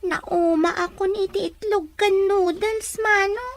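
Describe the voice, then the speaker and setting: A woman's voice: very high-pitched, fairly even intonation, unhurried, a little hiss or hum. A woman playing a young, hungry orphan child in an audio drama, plaintive and outspoken. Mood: plaintive, puzzled. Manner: plaintive, complaining. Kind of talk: Ilocano audio drama acting.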